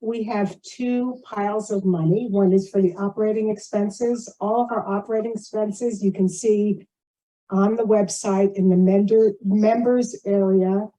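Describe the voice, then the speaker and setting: A woman's voice: medium pitch, steady tone, unhurried, warm tone, rough recording. A woman giving an informal report at a community meeting, plain-spoken and rambling, often drifting into asides. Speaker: board member giving a financial report. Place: community meeting shared over video call.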